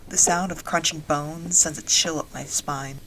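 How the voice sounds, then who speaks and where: A woman playing a wry dragon-riding soldier, narrating a fantasy audio drama in the first person in a measured storytelling way. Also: deep voice, steady tone, sharp tone, a little hiss or hum, exaggerated voice, poor audio. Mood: composed, watchful.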